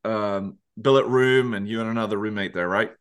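A man hosting a podcast interview in a chatty way over a video call, often correcting himself and filling pauses with fillers. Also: lowish voice, fairly even intonation, a bit bright, smooth. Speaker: podcast host.

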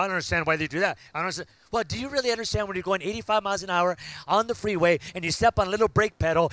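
A man, preaching a sermon and building to loud, fervent call-outs to the congregation. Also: sits high, dry recording. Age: 50s. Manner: preaching with rising shouts and call-outs to the crowd. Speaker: pastor.

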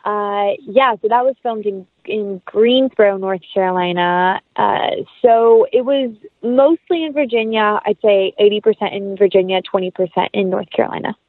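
A woman speaks as an interview guest on a dull, band-limited recording with little room sound. Her pitch is mid-range. She talks in a casual, rambling, informal way. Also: moderate pace, few pauses, dry recording. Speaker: interview guest, film actress.

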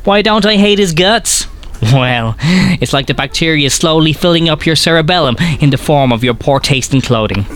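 An actor playing an arrogant, caustic science professor in a comic audio drama, lecturing in a pompous, sardonic and wordy way. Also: male voice, a little high, lively intonation, moderate pace, a bit bright. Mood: withering, pompous.

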